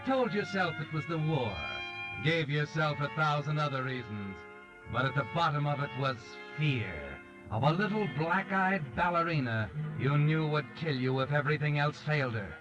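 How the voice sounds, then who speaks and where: Sinister male narrator actor, 1940s radio mystery; lightish pitch, taunting delivery, dull recording over prominent music. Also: animated, moderate pace, lightly acted. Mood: knowing, sinister. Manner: taunting, dramatic second-person storytelling. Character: sinister all-knowing male narrator addressing the guilty. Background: dramatic music.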